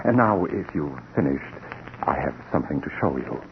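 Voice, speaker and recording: Secretive lab assistant, grave and formal, highly animated intonation, vintage radio suspense, muffled noisy recording. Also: male voice, moderate pace, creaky, heavily acted. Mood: measured, explaining. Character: earnest, secretive male laboratory assistant, grave and persuasive. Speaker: actor in a radio drama. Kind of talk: 1940s radio suspense acting.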